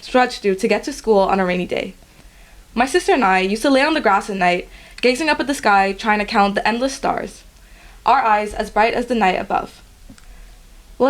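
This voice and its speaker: A young woman from Guyana, a podcast guest, reading her college admissions essay aloud in an earnest, steady way. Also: fairly high pitch. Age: young adult.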